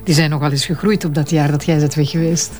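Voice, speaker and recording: A woman's voice: deep voice, steady tone, fast, darkish tone, faint music behind, close to an everyday voice. An actress playing an affectionate, teasing wife and mother in a Flemish radio drama, talking in a playful, exclamatory way. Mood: warm, soothing. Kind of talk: naturalistic radio drama dialogue.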